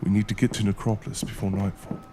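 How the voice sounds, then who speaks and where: An actor playing a determined, adventurous scholar in a period horror audio drama, speaking in a formal, old-fashioned, earnest way. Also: male voice, fairly low pitch, level tone, quick pace, background noise, close to an everyday voice. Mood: earnest, insistent. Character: determined, adventurous male scholar exploring ancient ruins.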